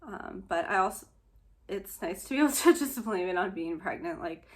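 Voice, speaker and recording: A woman's voice: mid-range voice, lively intonation, moderate pace. A young woman, slightly nasal and short of breath, chatting casually in a vlog, laughing often between filler words. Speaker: vlogger. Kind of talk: casual pregnancy update vlog.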